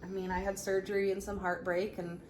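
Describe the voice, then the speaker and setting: A woman in her thirties telling a personal story in a casual, chatty, animated way. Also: mid-range voice, fairly quick. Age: 30s. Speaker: vlog co-host. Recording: clearly noisy room ambience.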